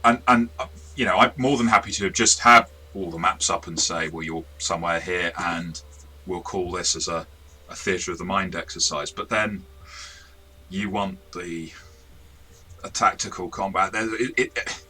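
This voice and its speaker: A man's voice: sits low, fairly even intonation, normal speed, bright, forceful tone, breathy edge, rough recording. A man co-hosting a casual review discussion as a game master, often correcting himself and adding asides. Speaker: tabletop game master and review show co-host.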